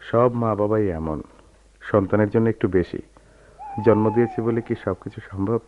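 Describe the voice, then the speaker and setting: An actor playing a brooding, bitter, lovelorn man in a Bengali radio drama, speaking in a measured, melancholy, literary way. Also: fairly low pitch, close to an everyday voice. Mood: gentle. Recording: dull lo-fi radio recording with noise.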